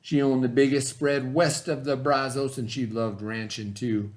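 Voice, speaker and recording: A middle-aged man reading a picture book aloud to a class in a folksy storybook manner, pitching his voice up for the characters. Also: flat delivery, normal speed. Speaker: teacher.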